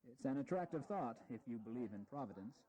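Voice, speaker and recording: An actor playing an urbane, intellectual American diplomat in a historical audio drama, articulate, measured and gently teasing. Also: male voice, medium pitch, expressive, lightly acted, low-quality recording. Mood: emphatic.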